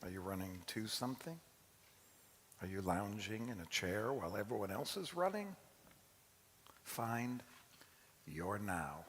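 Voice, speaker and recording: A man's voice: on the low side, big pitch swings, a little slow, long pauses, fairly bright tone. A man addressing listeners in a reflective way, posing rhetorical questions. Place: large synagogue hall, live performance. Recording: reverberant hall with faint noise.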